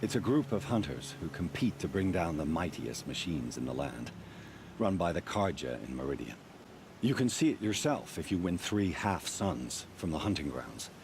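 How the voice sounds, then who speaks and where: Very deep-voiced hunting keeper. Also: male voice, very animated, normal speed, background noise. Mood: confiding. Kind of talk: video game voice acting.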